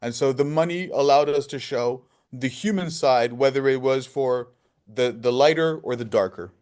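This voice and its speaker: A man's voice: mid-range voice, normal speed, darkish tone, clean tone. A man who is a filmmaker, answering interview questions conversationally and explaining his ideas with rhetorical questions.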